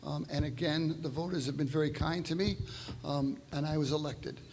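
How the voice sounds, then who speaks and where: Brief: older male mayor, higher voice, flat even delivery, hall reverb. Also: normal speed, slight background noise. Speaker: incumbent mayor. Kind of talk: candidate forum speech. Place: church hall forum.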